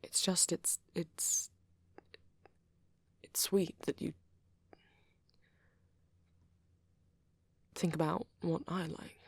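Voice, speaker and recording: A woman voicing a shy, awkward young vampire boyfriend in an ASMR roleplay, hesitant and rambling, with a soft, breathy delivery. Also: lowish voice, flat delivery, dry recording, exaggerated voice. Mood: bashful, touched. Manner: hesitant, halting, rambling, nervous.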